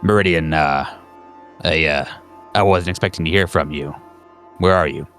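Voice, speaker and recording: A man acts out a covert agency dispatcher in a horror roleplaying game, pitched very low. He is calm and official, choosing his words with measured care. Music plays behind him. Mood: calm, businesslike.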